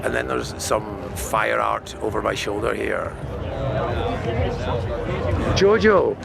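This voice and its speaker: A man with a hoarse, worn-out voice talking casually and wryly in a spontaneous outdoor video diary. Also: big pitch swings, takes it slowly, loud music behind the voice. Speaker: vlogger filming a festival diary. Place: outdoors at a desert festival. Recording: noisy outdoor recording with vehicle rumble.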